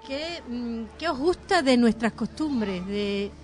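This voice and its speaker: A woman from Spain co-hosting an interview, asking the guests questions deliberately. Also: a little high, lively intonation, faint music behind. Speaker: co-host.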